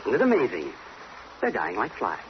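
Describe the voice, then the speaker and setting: A man's voice: lowish voice, wildly swooping pitch, normal speed. A wry, self-assured American newspaperman playing detective in a vintage radio mystery. His voice moves freely up and down as he teases and needles. The recording is muffled and noisy. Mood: sardonic, gleeful. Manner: wry, teasing. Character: wisecracking, self-assured sportswriter turned amateur sleuth. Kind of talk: old-time radio crime drama acting.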